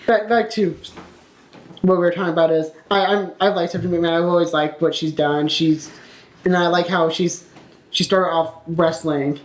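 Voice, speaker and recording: A woman's voice: on the low side, fairly even intonation, normal speed. A young woman co-hosting a podcast, chatting casually with friends about pro wrestling. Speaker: podcast co-host. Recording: roomy, echoing room sound.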